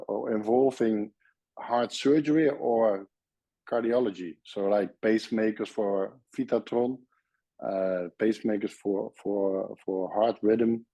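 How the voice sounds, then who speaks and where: A man's voice: fairly low pitch, dark tone, no echo. A Dutch-accented man from the Netherlands, a surgeon guest in a casual interview, speaking friendly English with frequent restarts.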